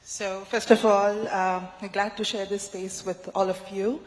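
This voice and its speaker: A woman answering a panel question thoughtfully, pausing with fillers. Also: medium pitch, level tone. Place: large conference hall. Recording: reverberant hall.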